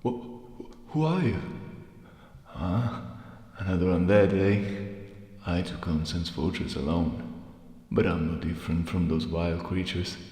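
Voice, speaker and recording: Man voicing a low growly crestfallen undead merchant. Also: darkish tone. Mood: bitter, mocking. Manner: weary, taunting, drawn-out phrasing. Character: crestfallen undead merchant, bitter, mocking, conceit long broken. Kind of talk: video game character acting. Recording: echoey, long reverb.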